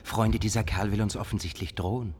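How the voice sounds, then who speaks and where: An actor playing a commanding, suspicious medieval expedition leader in a radio drama, with an authoritative, theatrical delivery. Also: male voice, on the low side, fairly even intonation, brisk, slight background noise, close to an everyday voice. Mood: suspicious, threatening. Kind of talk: German radio drama acting. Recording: long reverberant hall.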